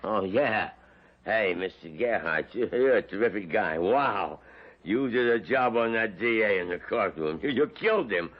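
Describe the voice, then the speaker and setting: An actor playing a dim, brash, thuggish grown son in an old-time radio drama, slangy, crude and eager. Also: male voice, on the low side, animated, moderate pace. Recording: muffled vintage radio recording.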